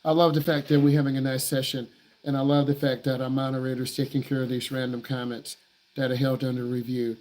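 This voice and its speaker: A man's voice: medium pitch, flat delivery, fairly quick, a little mellow, low-quality recording. A man who works as a driving instructor answers viewer questions on a live stream in a blunt, emphatic way. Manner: blunt, emphatic, repeats himself for stress.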